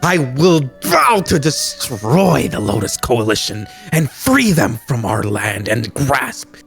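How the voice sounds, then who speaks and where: A man playing a vengeful, anguished young kung-fu warrior in a radio drama, declaiming with dramatic pauses. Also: mid-range voice, big pitch swings, vocal fry, a bit bright, soft background music, full character voice. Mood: anguished, vengeful fury.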